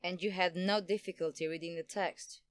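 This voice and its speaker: An actress plays an unruffled woman time traveller in an audio drama. Her voice is on the low side, with a dry studio-like closeness. She probes coolly and casually, never sounding ruffled. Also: fairly even intonation, normal speed, clean tone, dry recording, natural voice. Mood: cool, probing.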